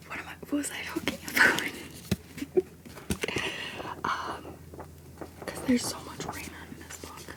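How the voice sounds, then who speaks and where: A young woman whispering as she rambles and giggles about favorite books in a casual video. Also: low-quality recording. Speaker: video creator. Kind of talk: whispered book-talk ramble.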